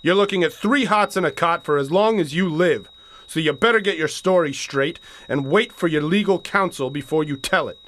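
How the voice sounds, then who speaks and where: An actor playing a stern, hard-boiled sheriff, commanding and deliberate, in a radio thriller. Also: male voice, fairly high pitch, a bit bright, a little hiss or hum, natural voice. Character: stern, hard-boiled small-town sheriff making an arrest.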